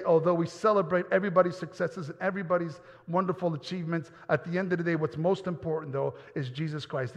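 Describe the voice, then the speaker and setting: A man from New York giving a personal testimony sermon in a church, earnest and often repeating words as he goes. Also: on the high side. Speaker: pastor. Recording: dull sound with long hall reverb.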